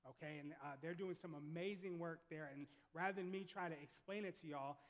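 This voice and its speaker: Enthusiastic pastor in a big hall. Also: male voice, on the high side, fairly even intonation, a little fast. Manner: enthusiastic, repeats words, warm affirmations. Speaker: pastor interviewing a guest. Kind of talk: church stage interview. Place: church sanctuary.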